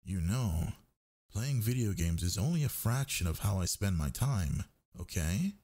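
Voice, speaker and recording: Needy, teasing boyfriend for intimate audio roleplay, male actor: slow, mellow, breathy, murmured coaxing. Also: low-pitched, sing-song, heavily acted. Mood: relaxed, reassuring. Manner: coaxing, murmuring, drawn-out words. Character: sleepy, needy, teasing gamer boyfriend, young man.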